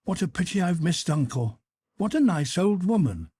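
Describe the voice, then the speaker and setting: A man doing a polite, husky young officer. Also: high voice, very animated, moderate pace, dark tone, no room sound, voice pushed up, full character voice. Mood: earnest. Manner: polite, hesitant and a little vexed. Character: young officer of eighteen, pleasant, well-bred, good-natured.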